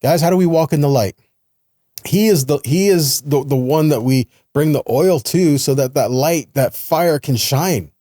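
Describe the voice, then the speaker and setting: A man's voice: fairly high pitch, normal speed, mellow, dry recording, clean studio sound. A man teaching a sermon in an earnest, explanatory way, reading scripture and stressing points with rhetorical questions. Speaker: preacher and Bible teacher.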